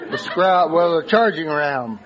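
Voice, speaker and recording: A man, a steel worker, answering a quiz host's questions in a plain, halting way. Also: a little high, animated, a crowd in the background. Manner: halting, plain, searches for words. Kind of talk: unscripted quiz show interview. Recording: dull old radio broadcast with loud audience and noise. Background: studio audience laughter.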